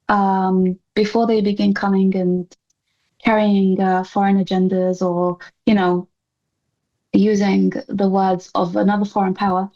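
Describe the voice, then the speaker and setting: A woman gives earnest interview answers in a mid-pitched voice. She is articulate and impassioned, leaning on repetition for emphasis. The recording is a little muffled and lo-fi. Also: darkish tone. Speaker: interview guest.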